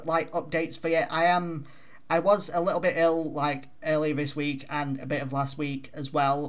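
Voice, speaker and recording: A young man chatting casually to his viewers in a self-deprecating, rambling way, full of fillers. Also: on the high side. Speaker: vtuber channel host. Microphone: desk microphone at home.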